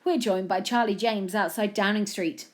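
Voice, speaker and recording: A woman's voice: medium pitch, steady tone, moderate pace, pitched above the performer's own voice, natural voice. A woman voicing a chirpy, pompous male TV newsreader in a comic story, declaiming a mock news bulletin. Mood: pompous, upbeat.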